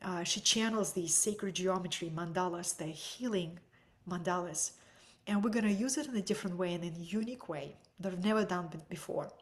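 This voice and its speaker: A woman with a Russian accent, teaching an online webinar from home. She speaks softly and sometimes drops into a breathy whisper. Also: lowish voice, fairly quick. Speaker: energy healing teacher.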